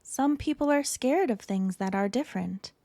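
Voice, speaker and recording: A woman voicing a supportive, reassuring mother in a children's storybook, giving calm and gentle advice. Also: fairly high pitch, normal speed, no room sound. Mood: gently encouraging.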